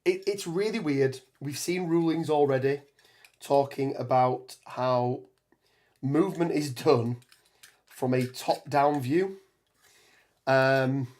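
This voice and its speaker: A man with a hoarse voice from a cold, chatting on a live stream as he builds. He rambles, restarts sentences and fills pauses with ums. His pitch sits in a middle range. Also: darkish tone. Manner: rambling, many ums and restarts, reads chat aloud. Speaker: tabletop gaming streamer. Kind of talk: casual live stream chat while building terrain.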